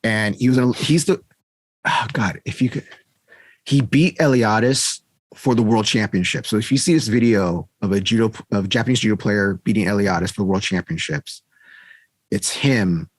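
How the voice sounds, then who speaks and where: A man's voice: lowish voice, normal speed, dry recording. A man co-hosting a casual podcast, rambling through stories and laughing often. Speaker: podcast co-host. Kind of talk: casual chat.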